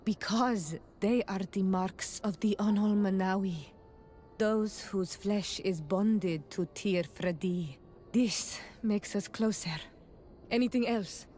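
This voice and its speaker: An actress playing a proud young native clan princess in a fantasy video game, speaking earnestly and deliberately. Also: female voice, mid-range voice, warm tone, natural voice. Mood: calm, proud. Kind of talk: video game cutscene dialogue.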